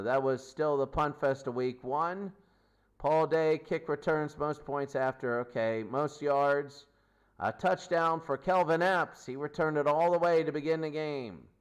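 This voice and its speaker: A man's voice: on the high side, clear, resonant tone. A man giving an unscripted sports stats recap as host, listing figures in short, clipped phrases. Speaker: sports show host and commentator.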